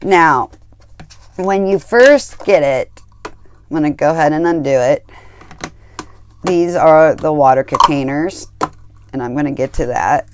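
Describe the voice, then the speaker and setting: A woman's voice: sits low, a little slow, long pauses, a little hiss or hum. A woman hosting an art channel, casually reviewing her hiking painting supplies in a rambling way, correcting herself often with many ums. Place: indoor craft table.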